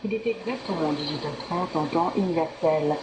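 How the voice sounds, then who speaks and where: A woman radio presenter reading a scripted news bulletin in a formal, measured manner, heard over shortwave radio. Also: deep voice. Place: broadcast heard through a shortwave receiver. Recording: noisy, echoing shortwave radio reception.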